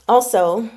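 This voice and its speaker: Mellow-voiced woman chatting casually. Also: on the high side. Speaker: host of a book club reading stream. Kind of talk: casual livestream chat about a novel.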